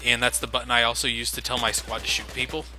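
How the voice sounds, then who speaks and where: A man's voice: mid-range voice, flat delivery, quick pace, sharp tone. A man giving sarcastic, casual commentary over his own gameplay, swearing freely. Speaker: let's play commentator. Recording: game noise underneath.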